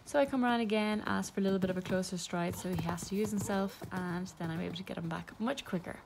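A woman's voice: mid-range voice, fast talker, gravelly, background noise. A young woman talking casually through a ride as a voice-over, with lots of ums and small laughs. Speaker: equestrian vlogger.

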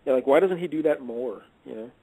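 A man hosting a casual radio chat show, briskly steering the talk with questions. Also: mid-range voice, lively intonation, warm tone, husky, no echo, rough recording. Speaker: host.

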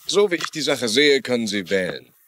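A man's voice: medium pitch, very animated, a little fast, a bit bright. An actor playing a stern, determined man pressing for answers in a German-dubbed TV crime drama, firm and blunt. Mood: stern, insistent. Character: determined, stern son of accused man, official-looking.

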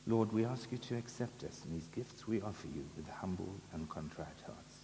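Elderly priest in an echoing chapel. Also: male voice, deep voice, lively intonation, normal speed. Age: 70s. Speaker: priest celebrating Mass. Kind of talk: liturgical prayer. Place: large brick chapel, at altar and lectern. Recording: echoey chapel with long reverb, background noise.

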